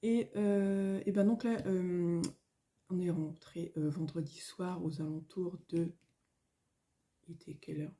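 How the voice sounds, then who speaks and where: A middle-aged woman, a little hoarse, chatting casually at home in a vlog, rambling with many fillers and restarts. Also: on the low side, steady tone, a little slow, mellow. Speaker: coloring vlogger. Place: at home, indoors.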